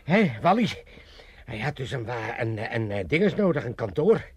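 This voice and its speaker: Wily old Amsterdam dad, swooping voice. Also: male voice, mid-range voice, very animated, normal speed, slightly rough, no room sound. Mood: boastful, rambling. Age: older. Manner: theatrical grumbling and coaxing. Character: wily, self-pitying old Amsterdam father, boastful and wheedling. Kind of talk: 1980s comedy radio drama acting.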